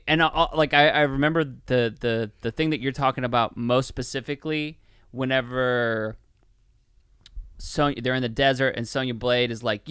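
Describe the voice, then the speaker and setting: A man's voice: medium pitch, fairly bright tone, clean tone, no room sound, studio quality. Male co-host for a film podcast, clean mid-range voice, informal and meandering, stop-and-start delivery. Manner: rambling, halting, heavy use of "like". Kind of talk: casual film review chat.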